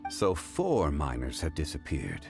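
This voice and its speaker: A man voicing a composed, erudite gentleman in a video game, with formal, precise diction. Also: wildly swooping pitch, a little slow, gravelly, heavily acted. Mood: inquiring. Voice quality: deep. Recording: music behind the voice.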